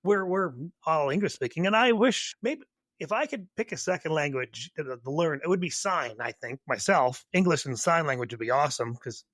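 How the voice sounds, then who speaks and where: Brief: man, podcast host, relaxed storyteller, slightly high voice, dry sound. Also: normal speed, no room sound. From Canada. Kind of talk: casual chat.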